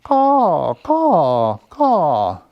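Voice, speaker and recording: A greedy, mean old storybook crow, cawing in loud squawks. The voice jumps very high and sounds dry and close. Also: male voice, huge pitch swings, very slow, no room sound, muffled recording, exaggerated voice. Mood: defiant squawking. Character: greedy, mean crow that only caws back.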